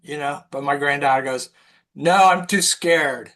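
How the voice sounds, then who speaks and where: A woman's voice: low voice, a little slow, a bit bright. An older woman telling anecdotes casually in a group film discussion. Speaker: film club member.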